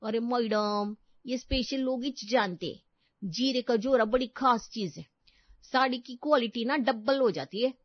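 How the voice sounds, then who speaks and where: Woman putting on a sassy, high-pitched housemaid. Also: measured pace, no room sound, dull audio. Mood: boastful. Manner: cheeky, colloquial street-style Hindi with English words. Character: sassy, overconfident working-class housemaid woman, boastful.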